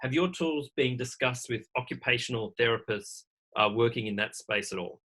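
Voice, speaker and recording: A man moderating a live online panel Q&A over a video call, speaking in an informal, halting way. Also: mid-range voice, sharp tone. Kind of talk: hosting a live webinar Q&A.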